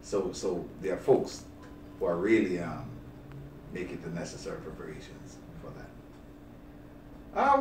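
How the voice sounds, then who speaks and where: Older male bishop, halting and deliberate interviewee, distant mic in an echoey room. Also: deep voice, a little mellow. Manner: deliberate, halting, repeats words. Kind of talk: interview, inviting the public to an event. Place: small office, seated at a desk. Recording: roomy, distant mic, clear background noise.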